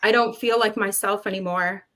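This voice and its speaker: A woman speaking earnestly as a panelist in an online discussion, pausing to stress key words. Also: mid-range voice, steady tone, pushed and bright.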